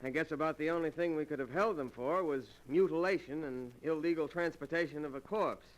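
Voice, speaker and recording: An actor playing a stern, plain-spoken American police lieutenant with brisk authority in a 1940s radio drama. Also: male voice, on the high side, lightly acted, poor audio. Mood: grimly reflective.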